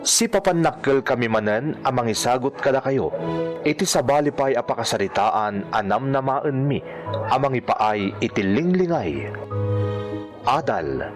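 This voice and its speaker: A man's voice: mid-range voice, very animated, unhurried. A man with a Filipino accent reading a scripted introduction as a radio drama announcer. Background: music.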